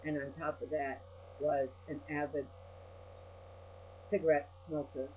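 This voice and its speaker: A woman's voice: low voice, flat delivery, measured pace. An older woman delivers a rambling, personal monologue as a video blogger. She is blunt and salty, given to ranting. The recording is dull and lo-fi, with a faint electrical hum behind her. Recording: dull lo-fi audio with mains hum.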